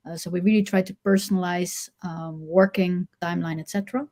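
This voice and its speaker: A woman's voice: fairly low pitch, steady tone, a little fast, warm tone. A woman from the Netherlands with a mixed Dutch and international accent, a guest chatting casually with many fillers and restarts. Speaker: agency CEO guest.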